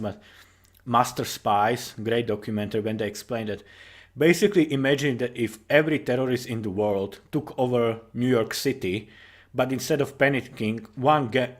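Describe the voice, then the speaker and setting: A tired man giving informal, rambling commentary as a writer on his own story, halting and correcting himself, with casual swearing. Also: mid-range voice.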